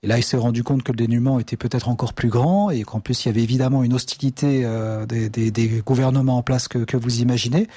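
A man speaks haltingly, full of pauses and self-corrections. His voice is mellow and relaxed, and the recording is dry and close. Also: mid-range voice, husky, no echo. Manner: hesitant, many fillers and restarts. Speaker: charity representative. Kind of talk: interview answers.

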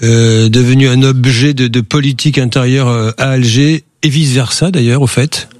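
A man's voice: medium pitch, fairly even intonation, moderate pace, darkish tone, slight background noise. A man hosting a live radio phone-in, conversational, with hesitant, trailing phrasing. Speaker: radio talk show host.

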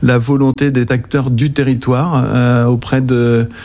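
French guy in his 40s, soft mellow tone, really hesitant, explaining stuff in an interview. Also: medium pitch, level tone. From Anjou, western France. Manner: very halting, hesitant delivery. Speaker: accounting agency director, interview guest. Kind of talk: informative interview answers. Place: interview on site in an office.

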